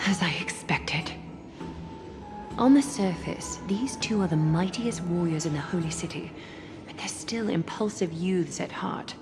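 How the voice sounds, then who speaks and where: A woman voicing a composed, authoritative noblewoman in an anime-style game, speaking formally, measured and stern. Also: on the low side. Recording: background game music.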